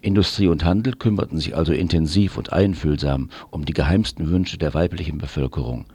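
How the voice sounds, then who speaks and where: A man's voice: rumbling low, a little fast, darkish tone, slightly rough, dry recording. A man presenting a scripted radio commentary with dry irony. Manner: dryly ironic.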